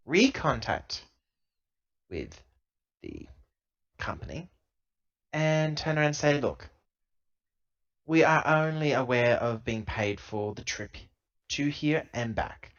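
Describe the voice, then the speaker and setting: A man playing a tabletop role-playing game, improvising dialogue and narration in a halting, self-correcting, emphatic way on a lo-fi recording. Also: medium pitch, a bit bright. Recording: dull lo-fi laptop recording.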